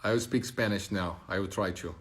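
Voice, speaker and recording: A Portuguese-speaking man from Brazil with a Brazilian Portuguese accent, hosting a casual live stream and reading out viewer comments, halting and searching for words. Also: sits low.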